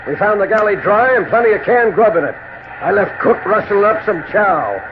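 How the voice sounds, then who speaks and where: A man playing a tough, practical sea captain in 1940s radio drama, brisk and barking orders on a noisy old recording. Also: high voice, lively intonation, phone-quality sound.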